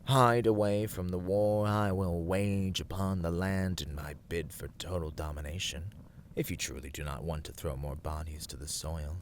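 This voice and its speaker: A man playing an imperious, teasing horned warlord villain in an ASMR roleplay, grandiose and commanding, often dropping toward breathy whispers. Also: deep voice, steady tone, normal speed, a little hiss or hum, close to an everyday voice. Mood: coolly threatening.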